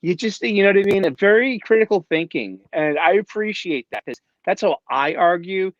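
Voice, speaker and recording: Halting guest man, dull call audio. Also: sits high, animated, no echo. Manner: halting, many restarts and fillers. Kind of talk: casual chat on a livestream.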